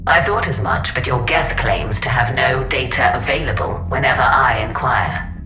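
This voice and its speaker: A very low female voice for a cold alien admiral in a game. She speaks formally, with clipped condescension. The sound is filtered and echoey, over clear music. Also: expressive, moderate pace. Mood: smooth, calculating. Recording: echoing processed voice over loud music.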